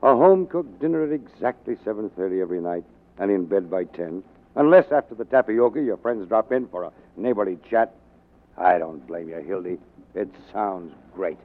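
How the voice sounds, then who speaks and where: Scheming newspaper boss, old tinny radio. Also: male voice, deep voice, lively intonation. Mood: mock sympathy. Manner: sarcastic, bossy, fast-switching from barking to wheedling. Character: tyrannical, resourceful, scheming male newspaper managing editor. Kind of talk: 1940s radio acting. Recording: muffled narrow-band 1940s radio recording.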